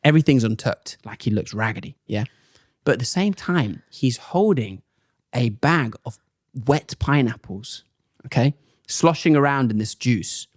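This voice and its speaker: A young man and comedian, chatting casually as a podcast guest and telling animated stories in which he acts out other people's lines. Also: mid-range voice, measured pace, no echo, studio quality. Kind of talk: casual chat, storytelling.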